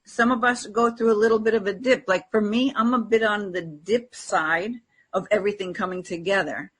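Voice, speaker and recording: A woman with a husky voice at a middling female pitch talks as a podcast co-host. She explains things in a casual, encouraging way, often tagging her points with small checks for agreement. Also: moderate pace, a bit bright. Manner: encouraging, often seeks agreement with tag questions. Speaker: podcast co-host, spiritual energy coach.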